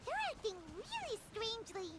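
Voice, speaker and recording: A woman voicing a tiny, excitable, childlike fairy companion with a squeaky voice in an anime video game. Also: normal speed, slight background noise, heavily acted. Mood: startled. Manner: exclamatory, refers to itself by name.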